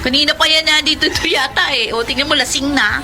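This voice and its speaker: A woman's voice: high-pitched, a little fast, lightly acted. An actress playing a sassy, gossipy Filipino bar-girl friend with campy, slangy teasing in a radio drama. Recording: loud music bed over voice.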